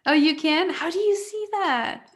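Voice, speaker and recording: A woman's voice: extremely high pitch, a little slow. A woman from Mexico with a Mexican accent, co-hosting a casual live video chat and laughing often. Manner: giggly, chatty, laughs often. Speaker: co-host. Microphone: computer mic on a video call.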